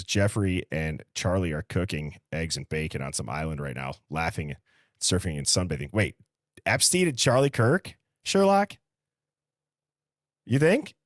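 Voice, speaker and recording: Low-voiced American man, casual livestream host. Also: animated, a little slow, pauses often, no room sound, studio quality. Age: early 30s. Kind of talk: casual live-stream chat, reading out viewer comments.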